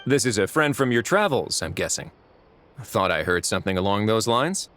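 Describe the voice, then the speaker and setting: A man's voice: lowish voice, big pitch swings, normal speed, a bit bright, slight background noise. A man voicing a wry, composed prison duke in a video game, with a dry, laid-back and teasing manner. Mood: wry, amused.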